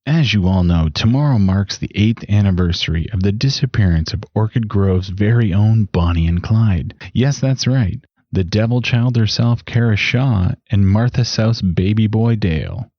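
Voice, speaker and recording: An actor playing a gossipy, sneering late-night radio DJ who talks in crude, mocking, folksy banter. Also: male voice, lowish voice, normal speed, few pauses, no room sound, dull audio, lightly acted.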